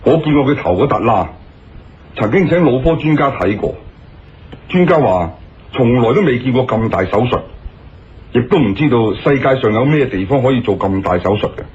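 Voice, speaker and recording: A man's voice: mid-range voice, expressive, normal speed, a little mellow, highs cut off, close to an everyday voice. A man playing a brusque, sceptical police colonel in a Cantonese radio drama. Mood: argumentative.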